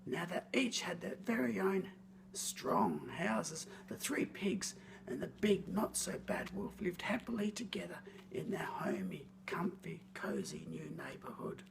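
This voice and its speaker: Very animated woman storyteller, creaky edges. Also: lowish voice, unhurried, whispered. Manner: theatrical, expressive, lingering on words. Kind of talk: scripted children's story reading.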